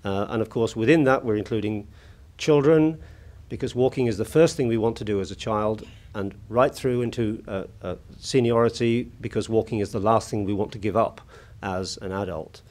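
Husky-voiced British man giving a keynote. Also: on the low side, a little mellow. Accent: British English. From United Kingdom. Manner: measured, hesitant with many "uh" fillers. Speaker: conference keynote speaker. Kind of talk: formal conference talk. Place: large conference hall at a lectern. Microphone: lectern microphone.

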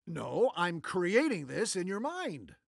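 An actor playing a smug, theatrical, easily exasperated older man from the future in an animated comedy. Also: high voice, slow, vocal fry, no room sound, clean studio sound, exaggerated voice. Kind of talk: animated comedy character acting.

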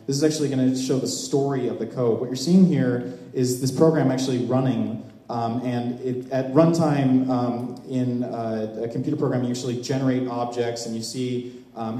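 A man giving a live demo talk to an audience as a software presenter, explaining in a halting way. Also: mid-range voice. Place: large open indoor event space, on a small stage before a seated audience. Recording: echoey hall with long reverb.

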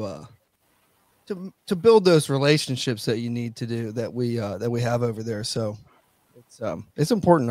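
A man's voice: medium pitch, darkish tone, dry recording. A man in his thirties co-hosting a podcast, talking in a loose, self-deprecating, slangy way. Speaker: podcast co-host. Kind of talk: casual video call chat.

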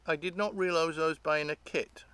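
A man's voice: fairly high pitch, steady tone, normal speed, fairly bright tone, clear, resonant tone. A middle-aged man with a British accent giving a casual, unscripted show-and-tell outdoors, explaining things in a hesitant, rambling way. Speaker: host of an electronics hobby channel. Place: outdoors by leafy trees.

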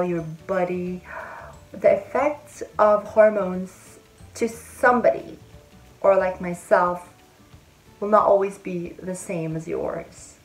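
Slow-talking young woman vlogger. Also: medium pitch, low-quality recording. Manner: chatty, friendly, explains things step by step. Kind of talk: casual advice vlog. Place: indoor room with a bead-curtain backdrop.

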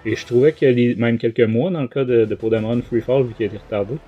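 A man's voice: medium pitch, steady tone, fast talker, husky, phone-quality sound, soft background music. A man with a Québécois French accent hosting a podcast, giving a casual book review in a rambling, colloquial, hesitant way.

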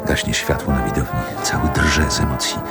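An actor playing a nostalgic narrator in a radio play, measured, lyrical and reflective. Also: male voice, rumbling low, steady tone. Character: male narrator, nostalgically recalling a Warsaw boyhood. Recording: music behind the narration.

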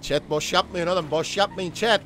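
A Turkish man with a fairly high voice speaks as a game streamer leading his crew in roleplay. He is brash, slangy and combative, with faint game and vehicle noise behind him. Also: fairly even intonation, moderate pace, fairly bright tone. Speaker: streamer and gang-leader player in online roleplay game. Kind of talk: live gaming commentary and in-game roleplay chat.

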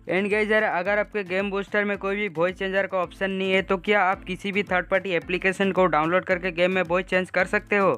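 A man's voice: sits high, pushed and bright. A man hosting a casual gaming tutorial voice-over in a chatty way, addressing viewers as friends. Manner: chatty, repeatedly addressing viewers as bro and guys. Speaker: gaming video host.

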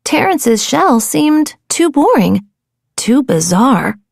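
A woman narrating a children's storybook in a dramatic, playful and deliberate way. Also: high-pitched, very animated, creaky, a little mellow, no echo, clean studio sound. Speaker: audiobook narrator.

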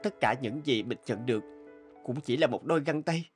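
An actor playing an emotional, hot-tempered young son in a cartoon, with dramatic delivery. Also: male voice, mid-range voice, normal speed, natural voice. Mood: brooding. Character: hot-tempered, resentful young man, later remorseful son. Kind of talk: cartoon dubbing. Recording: clear music under the voice.

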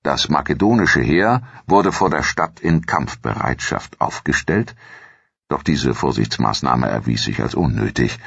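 Very deep, gravelly male history narrator. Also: fairly bright tone, no echo, highs cut off. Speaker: documentary narrator.

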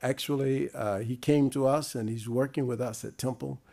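An older man introducing a guest speaker in a halting, formal way, full of pauses, at a desk microphone in a lecture room. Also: medium pitch, fairly even intonation, creaky, warm tone.

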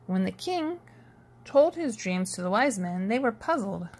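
A woman reads a children's storybook aloud. Her pitch moves in a lively, animated way as she tells the tale, and her voice has a slightly husky edge. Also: measured pace. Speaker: storybook reader. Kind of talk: expressive read-aloud of a children's picture book.

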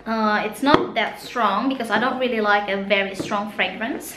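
A woman's voice: medium pitch, normal speed, roomy sound, noisy background. A woman in her thirties from Indonesia with an Indonesian accent, Sundanese her first language, chatting through a casual shopping haul and gushing over products. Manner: chatty, laughs often, gushes over products. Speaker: vlogger. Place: small room indoors.

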